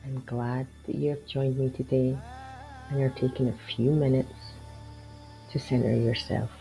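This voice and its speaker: A man leading a guided meditation in a soothing, gentle and encouraging manner. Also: medium pitch, little pitch movement, a little slow, vocal fry, low-quality recording. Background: music behind the voice.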